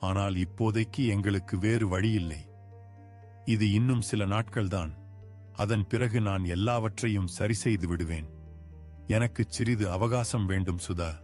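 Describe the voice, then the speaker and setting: A man's voice: fairly low pitch, unhurried, darkish tone, lightly acted. A man voicing a poor, worried village father. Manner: earnest, pleading. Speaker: voice actor. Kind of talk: dubbed cartoon acting. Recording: music bed behind.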